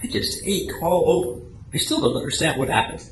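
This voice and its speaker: Man playing flustered, high-voiced husband. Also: sing-song, fairly quick. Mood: sore, bewildered. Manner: stammering, protesting, whiny. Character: flustered, indignant hypochondriac husband protesting his innocence. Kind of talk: amateur radio drama reading. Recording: echoey room, noticeable background noise.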